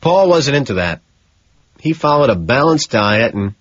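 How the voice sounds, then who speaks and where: A man's voice: medium pitch, big pitch swings, unhurried, no echo, muffled recording, pitched above the performer's own voice. A man voicing a vain, evasive young Wall Street businessman in an audiobook. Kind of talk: audiobook character dialogue.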